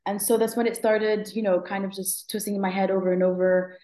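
A woman's voice: mid-range voice, level tone, fairly quick, mellow, echoey room. A woman in her thirties from Morocco, a guest telling her story in a conversational interview, reflective and thoughtful, with many fillers and restarts. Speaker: guest, app founder.

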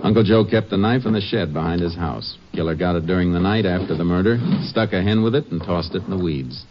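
An actor playing a calm, measured American Texas Ranger investigator in a 1950s radio drama. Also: male voice, low voice, background noise, natural voice. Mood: probing. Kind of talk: 1950s radio drama acting with first-person narration. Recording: dull old radio recording with music.

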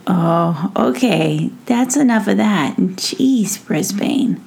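A woman's voice: mid-range voice, lively intonation, slow, creaky, a little mellow, breathy edge, exaggerated voice. A woman voicing a small, earnest and proud young boy gnome in a children's story, with a hesitant manner. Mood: exasperated.

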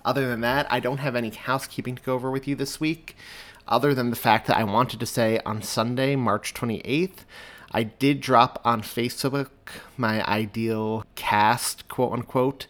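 Mid-pitched male podcast host. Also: steady tone, moderate pace, a bit bright. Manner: folksy address to listeners. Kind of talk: casual podcast introduction.

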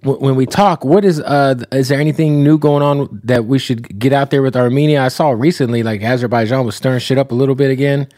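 A man hosting a podcast, chatting casually with a guest and dropping quick interjections. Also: mid-range voice, steady tone, warm tone, no echo, studio quality. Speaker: podcast host.

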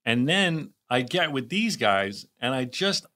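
A man's voice: medium pitch, sing-song, measured pace. A man co-hosting a podcast over a remote call, chatting casually with lots of restarts. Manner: rambling, many restarts, warm thanks to listeners. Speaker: podcast co-host. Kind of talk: casual chat.

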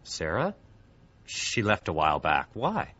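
An actor playing a matter-of-fact building superintendent in an audio drama, with clipped, folksy, casual phrasing. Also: male voice, low voice, wildly swooping pitch, a little slow, bright, forceful tone, husky, full character voice. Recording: dull lo-fi audio.

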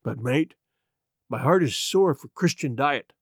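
A man voicing a marooned old pirate, childlike and sly, with a hoarse, rusty voice and rustic sailor speech for a storybook. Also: mid-range voice, animated, a little slow, dry recording, studio quality, pitched below the performer's own voice. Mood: plaintive.

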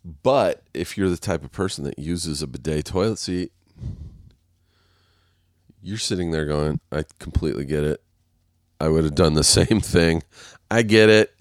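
A man with a very low voice, stuffy and nasal from congestion, recorded dry and close. He rambles through a casual solo podcast, swearing freely and laughing at his own stories. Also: measured pace, long pauses, no echo. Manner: rambling, profane, self-mocking, laughs often. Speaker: podcast host. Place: waiting on a set, recording alone.

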